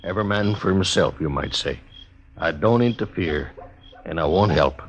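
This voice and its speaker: An actor playing a gruff, cynical American frontier man in a radio western, with a blunt, folksy way of talking. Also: sits low, moderate pace, slight background noise, natural voice. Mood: stubborn, bitter. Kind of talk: 1950s western radio drama acting. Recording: dull old radio recording.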